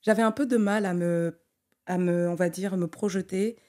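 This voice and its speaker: A young adult woman who grew up in Togo, an architect talking through her career as a podcast guest, thoughtful and full of pauses and restarts. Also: fairly low pitch, steady tone, a little mellow. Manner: thoughtful, many fillers and restarts. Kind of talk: conversational interview.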